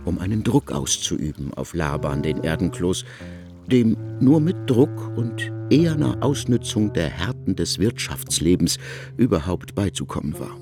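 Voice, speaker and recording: Wry storyteller, literary narration, lowish voice, very animated and emphatic, faint music behind. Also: male voice, unhurried, exaggerated voice.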